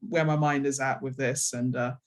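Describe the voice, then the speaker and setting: A woman's voice: very deep voice, fairly even intonation, normal speed. A woman from London with a London accent, answering interview questions in a hesitant, reflective way and often rewording herself. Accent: London English. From London, England. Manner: hesitant, reflective, self-correcting. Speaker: interviewed guest, comic book writer.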